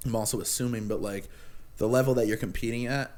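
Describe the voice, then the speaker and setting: A man's voice: medium pitch, steady tone, fast, darkish tone. Enthusiastic young male podcast host. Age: young adult. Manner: enthusiastic, slangy, filler-heavy. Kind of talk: casual chat.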